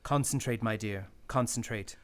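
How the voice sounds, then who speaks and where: An actor in a radio play voicing a slick, crooked fake spirit medium with a commanding, measured way of talking. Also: male voice, lowish voice, natural voice. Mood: hushed, solemn.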